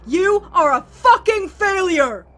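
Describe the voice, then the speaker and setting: A woman's voice: very high-pitched, lively intonation, takes it slowly, bright, forceful tone, exaggerated voice. A woman playing a furious, wounded young sister in an audio drama, accusing and cutting, with swearing and music behind her. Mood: scornful anger. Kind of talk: emotional audio drama acting. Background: faint background music.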